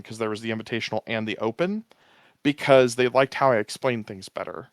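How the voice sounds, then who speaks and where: A man guest talking easygoing and rambling about his commentary work, with a smooth voice. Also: mid-range voice, no echo, studio quality. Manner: easygoing, rambling, restarts with fillers. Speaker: podcast guest, tournament commentator. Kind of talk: casual interview chat.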